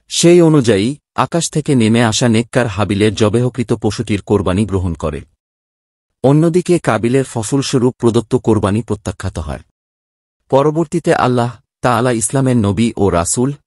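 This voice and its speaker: Guy w a fairly deep voice reading religious script, formal n measured, dry sound no room. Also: a little mellow, dry recording. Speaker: narrator.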